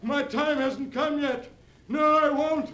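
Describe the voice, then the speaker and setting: A haggard, terror-stricken uncle in a vintage radio horror play. His voice is pitched extremely high and wild as he begs the dead to leave him be. The old recording is echoey, with faint noise. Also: steady tone, slow, sharp tone, heavily acted. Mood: panicked, pleading. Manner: frantic, wild, pleading cries. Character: terrified haggard uncle pleading with a dead man's ghost. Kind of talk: 1940s radio horror acting.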